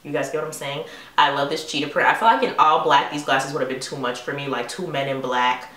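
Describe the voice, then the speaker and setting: Chatty low-voiced woman vlogger, roomy. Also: fast, a bit bright, distant mic. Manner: chatty, playful, rambling, addresses viewers as honey and y'all. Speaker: beauty vlogger. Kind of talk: casual haul vlog talking to camera. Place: bedroom vanity with lighted mirror, indoors.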